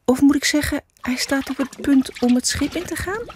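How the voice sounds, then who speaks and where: High-voiced woman narrating for children. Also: moderate pace, noisy background. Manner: addresses young listeners playfully. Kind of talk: scripted children's story narration.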